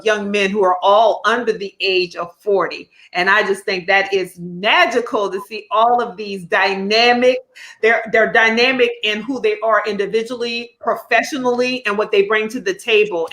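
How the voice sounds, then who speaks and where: A woman hosting a live panel show, talking in an enthusiastic, animated way. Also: medium pitch, moderate pace. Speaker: host.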